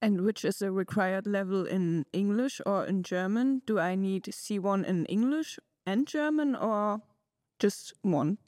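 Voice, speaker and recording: A woman hosting a podcast, reading a brisk intro and asking her guests clear questions. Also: medium pitch, lively intonation, a little mellow, no echo, studio quality. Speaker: podcast host.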